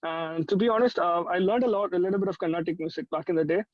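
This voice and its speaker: High-pitched young Indian man, dry dull audio. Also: fast talker, dry recording. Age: young adult. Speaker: musician guest. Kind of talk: casual chat, reminiscing.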